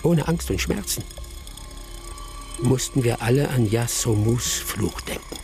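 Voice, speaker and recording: Actor as a gravelly village storyteller. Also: male voice, medium pitch, a little slow, lightly acted. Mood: absorbed storytelling. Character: genial older village storyteller, savoring his tale. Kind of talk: storytelling in a German radio drama. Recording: clear music behind the voice.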